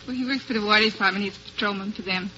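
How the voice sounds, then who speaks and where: A woman with a very bright, forward voice tells her story as a radio quiz contestant. She is polite, giggly and good-humoured, on a slightly noisy vintage broadcast. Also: medium pitch, rapid-fire, lo-fi sound. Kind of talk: chatty storytelling in a radio interview.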